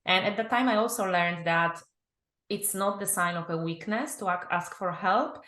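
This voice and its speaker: A woman from Poland with a Polish accent, telling her personal story as an interview guest in an animated way, acting out her own thoughts. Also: mid-range voice, normal speed. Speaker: guest, confidence and business mentor. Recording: roomy, echoing sound.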